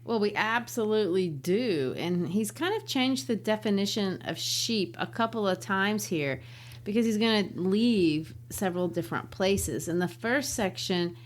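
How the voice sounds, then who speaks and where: A woman co-hosting a casual book discussion podcast, talking in a lively way and laughing easily. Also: medium pitch, a little slow. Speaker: podcast co-host.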